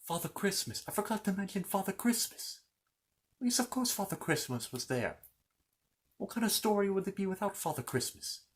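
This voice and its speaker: A man's voice: high voice, animated, moderate pace. A man telling a children's fantasy story in an informal ASMR style, rambling along with playful, courteous asides to the listener.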